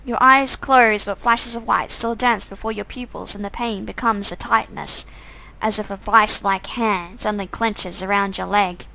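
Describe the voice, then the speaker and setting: A woman's voice: medium pitch, steady tone, moderate pace, creaky, sharp tone, poor audio. A woman streamer reading a visual novel game aloud as second-person story narration.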